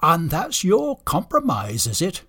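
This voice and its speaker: Man doing a blunt, stubborn reindeer, husky. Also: fairly high pitch, wildly swooping pitch, measured pace, fairly bright tone, no room sound, studio quality, pitched above the performer's own voice, exaggerated voice. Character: gruff, stubborn male reindeer who must go first.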